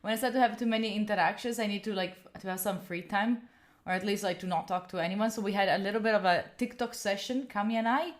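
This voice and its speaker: A woman's voice: medium pitch, quick pace. A young woman with an Italian accent chatting casually on a live stream, rambling and giggling with lots of fillers. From Italy. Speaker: study streamer.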